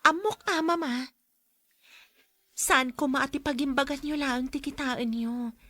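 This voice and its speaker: A woman's voice: high voice, steady tone, measured pace, fairly bright tone, dry recording. An actress playing a kind young woman, daughter of a rich family, in an earnest, pleading radio drama manner. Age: young adult. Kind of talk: Ilocano radio drama acting.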